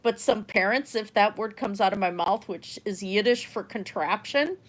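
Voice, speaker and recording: A middle-aged woman who spoke German first chats easily with her livestream audience. Her voice sits at a plain, middle pitch. She rambles from one thought to the next, laughs often, and lets her sentences fade off quietly. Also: normal speed, poor audio. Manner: chatty, trails off softly, laughs often. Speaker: livestream art host. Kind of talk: casual rambling chat with viewers.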